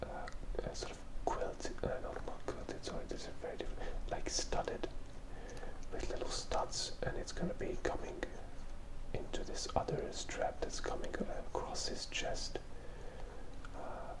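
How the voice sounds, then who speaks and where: An ASMR artist speaks entirely in a whisper, close to the microphone. The commentary on the drawing comes in hesitant fragments, as thinking aloud, with soft writing sounds behind it. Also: unhurried, poor audio. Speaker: artist hosting a drawing video. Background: drawing tablet and writing sounds.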